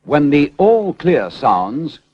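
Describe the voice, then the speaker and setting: British male announcer for vintage civil defence narration: formal and measured, widely varied intonation, muffled band-limited audio. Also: fairly high pitch, very animated, breathy edge, dry recording. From United Kingdom. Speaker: public information film narrator. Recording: dull, band-limited 1970s film soundtrack.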